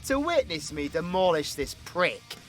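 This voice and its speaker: A man's voice: on the high side, sing-song, a little slow, a bit bright, faint music behind. A British man playing a cocky, foul-mouthed, brawling supervillain in an audio roleplay, mocking and crude. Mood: mocking, menacing. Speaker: voice actor.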